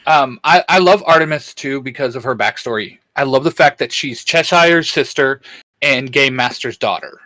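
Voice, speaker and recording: A man's voice: medium pitch, normal speed, sharp tone, no echo. A man co-hosting a geeky discussion show, talking casually as a fan. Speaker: co-host of a geek-culture discussion show. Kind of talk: casual fan chat about comics.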